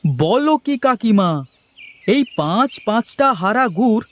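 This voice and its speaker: Man acting a cartoon village vendor, nosy, amazed, exclaiming, slightly high pitch. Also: sing-song, measured pace. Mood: curious, amazed. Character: nosy, amazed male village jaggery seller. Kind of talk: cartoon character dialogue. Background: music.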